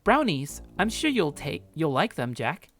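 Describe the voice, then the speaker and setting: A man's voice: a little high, big pitch swings, measured pace, fairly bright tone, voice pushed up. A man voicing a cheerful, pushy young woman neighbour in a visual novel, chatty and insistent. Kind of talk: visual novel character read-aloud.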